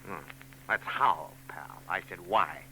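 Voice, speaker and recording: A man's voice: deep voice, slow pace, pushed and bright, slight background noise, full character voice, poor audio. An actor playing a cold, taunting bald killer in a 1940s radio drama, sneering and full of tough-guy slang. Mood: menacing. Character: bald murderous male gunman, cold and taunting.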